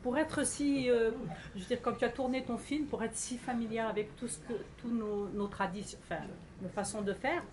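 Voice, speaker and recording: A woman's voice: on the high side, steady tone, normal speed, few pauses. A woman from Algeria in the audience asking the director questions, friendly and searching for words. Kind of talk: casual questions in a Q&A. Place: large cinema hall. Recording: hall with audience noise.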